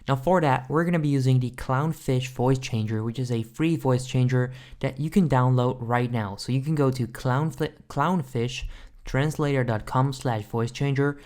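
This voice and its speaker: Casual man giving a software tutorial. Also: medium pitch, normal speed. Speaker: tutorial host.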